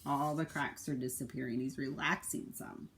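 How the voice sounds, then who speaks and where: A woman's voice: rumbling low, fairly even intonation, normal speed, fairly bright tone, a little hiss or hum. A woman speaking as a school librarian, giving simple, casual explanations of a picture book for children. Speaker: school librarian reading aloud.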